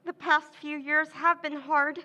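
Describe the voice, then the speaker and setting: Scheming woman acting all nervous, actress playing her, stammery, high bright voice. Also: flat delivery, measured pace, muffled recording. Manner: stammering, hesitant, breathy pleading. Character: scheming woman Pamela feigning nervous distress. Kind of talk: 1940s radio acting.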